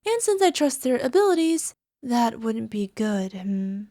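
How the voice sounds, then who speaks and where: A lovesick young alchemist girl acted out by a woman speaks in a fairly high voice with no room echo. She coaxes coyly and sounds sweet with a possessive, menacing edge, in the style of an ASMR girlfriend roleplay. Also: big pitch swings, measured pace, mellow, clean studio sound. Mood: smug, calm. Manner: coy, coaxing, giggly, tag questions. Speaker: voice actor.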